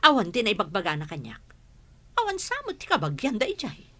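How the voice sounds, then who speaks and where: Bossy, suspicious matriarch for radio drama; raspy, lively, interrogating. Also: female voice, mid-range voice, fairly quick. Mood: indignant, suspicious. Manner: sharp, interrogating. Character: suspicious, domineering mother and mistress of the house.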